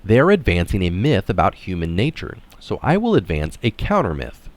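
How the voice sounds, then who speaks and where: A man's voice: deep voice, wildly swooping pitch, brisk. A man reading an article aloud in narration style, dropping in casual, opinionated asides of his own. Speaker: reader of an article adding his own comments.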